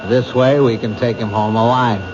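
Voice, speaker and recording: An actor plays a commanding police captain in a vintage radio mystery, brisk and matter-of-fact. The sound is dull with steady hiss. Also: male voice, mid-range voice, natural voice. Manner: brisk, orders given crisply. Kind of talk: 1970s radio drama acting.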